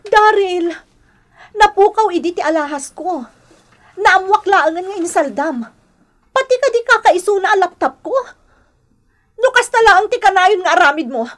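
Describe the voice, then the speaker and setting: Radio drama casting: distraught young Filipina wife, very high voice, swooping and emotional. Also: female voice, lively intonation, normal speed, pauses often, a bit bright. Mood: accusing, hurt. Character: battered, distraught young wife, pleading then defiant. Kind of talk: Ilocano radio drama acting.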